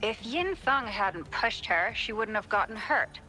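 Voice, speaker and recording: A woman's voice: medium pitch, expressive, a little slow, pushed and bright, soft background music, low-quality recording. An actress playing a fretful female workshop worker, heard as a played-back recording. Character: worried female workshop hand.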